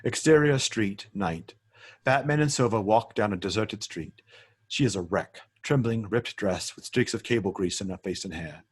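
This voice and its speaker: A man's voice: on the low side, moderate pace, husky. A man narrating stage directions in a script table read, matter-of-fact and occasionally stumbling over words.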